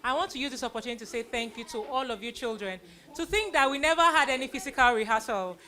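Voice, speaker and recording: A woman's voice: fairly high pitch, quick pace, bright, forceful tone, a little hiss or hum. A middle-aged woman giving an informal, effusive thank-you speech to an audience, repeating phrases for emphasis. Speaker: event convener giving a vote of thanks.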